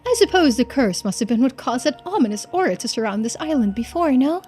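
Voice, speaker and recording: A woman's voice: sits high, sing-song, fast talker, darkish tone, faint music behind. A woman voicing an informal, hesitant and wary male investigator in a video game. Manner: casual, hesitant phrasing. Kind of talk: video game character voice-over.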